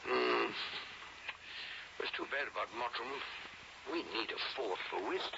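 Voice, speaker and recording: An actor playing a brisk, dry-humored British colonial doctor in a radio drama, blunt and inquisitive. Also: male voice, mid-range voice, vocal fry. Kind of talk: old-time radio drama acting. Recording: muffled, noisy old radio drama tape.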